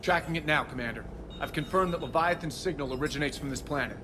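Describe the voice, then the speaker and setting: Actor as male shuttle pilot in sci-fi game, bit high voice, businesslike, engine noise behind. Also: fast talker, natural voice. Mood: measured, concerned. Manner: crisp, businesslike reporting. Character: level-headed male military shuttle pilot. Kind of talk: dramatic video game acting. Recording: loud vehicle cabin ambience.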